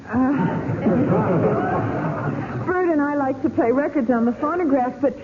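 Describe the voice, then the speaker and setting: A woman's voice: high-pitched, big pitch swings, slow, over a noisy crowd, heavily acted. A woman playing a sweet, girlish young lady in 1940s radio comedy, coy and politely pointed. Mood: sweetly coy. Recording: narrow-band 1940s radio, faint noise.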